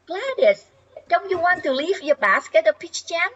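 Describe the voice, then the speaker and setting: An older woman playing a polite, confident thirties housewife new to the neighborhood in an amateur radio comedy, husky and genteel, on slightly dull audio. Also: extremely high pitch, big pitch swings, normal speed, fairly bright tone, heavily acted. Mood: matter-of-fact.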